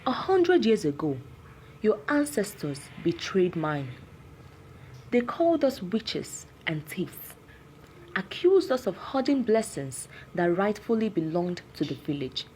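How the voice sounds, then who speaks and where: An anguished, cursed villager played by a woman storyteller pleads his case in a folktale. He is grave and vengeful, speaking slowly in a mellow tone with dramatic swings of pitch. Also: sing-song, a little hiss or hum, poor audio. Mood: sorrowful, bitter.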